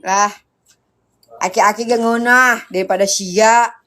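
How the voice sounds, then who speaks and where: A woman's voice: on the high side, steady tone, slow, bright, forceful tone. A woman from Indonesia with a Sundanese background chatting casually on a live stream, playfully switching between regional ways of speaking. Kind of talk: casual chat.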